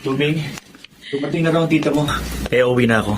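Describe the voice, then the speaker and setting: Over clear vehicle noise, a man plays a young taxi driver in a radio drama. His voice sits a bit high for a man, and he speaks with shy, courteous hesitation and kindly deference. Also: fast talker, natural voice. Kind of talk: Tagalog radio drama acting.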